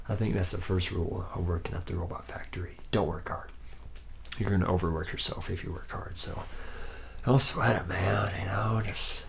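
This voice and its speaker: A man acts out a tipsy, easygoing factory-worker ladies' man with a very low voice full of gravelly fry. He rambles in slack, boastful slang, doubling back on himself in a soft ASMR roleplay, with faint hiss behind him. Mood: lazy, relaxed. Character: laid-back drunken womanizing male robot factory worker. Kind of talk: ASMR roleplay, soft improvised-sounding monologue.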